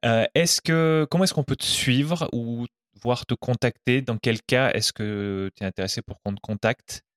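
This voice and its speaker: A man's voice: mid-range voice, measured pace, no echo, studio quality. A man hosting an interview, asking questions while fumbling for the right words. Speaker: interviewer, host.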